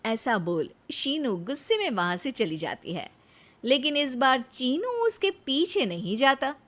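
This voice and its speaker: A woman's voice: high-pitched, very animated, unhurried, fairly bright tone. A woman giving scripted narration of a cartoon story as its narrator.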